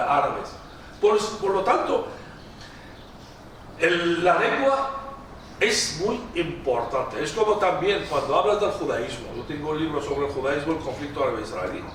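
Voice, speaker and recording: A man's voice: a little high, expressive, a little slow, a bit bright. A middle-aged man from Iraq, whose native tongue is Aramaic, lectures in a church with an Iraqi Arabic accent. He speaks emphatically, repeats phrases, and often slips and restarts words. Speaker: guest lecturer. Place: large echoey church hall. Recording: echoey hall audio from a distance with noise.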